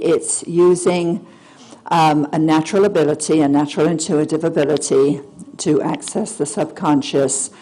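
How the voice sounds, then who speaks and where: Woman, soft-spoken lecturer, low mellow voice, hesitant fillers, talk in a hall. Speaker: guest speaker. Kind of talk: public lecture.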